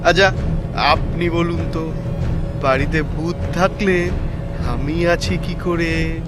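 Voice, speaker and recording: A man's voice: a little high, steady tone, slow pace. A man playing an evasive house seller in a Bengali audio drama, reassuring and dismissive, repeating himself insistently. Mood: dismissive, amused. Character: evasive, insistent lone man selling his eerie house, Sadashib. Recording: loud background music.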